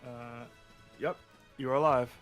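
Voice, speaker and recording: A man's voice: mid-range voice, fairly even intonation, measured pace, fairly bright tone, dull audio, soft background music. A man with a slight sore throat chatting casually on a stream in short, easygoing replies. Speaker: stream co-host. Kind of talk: casual chat.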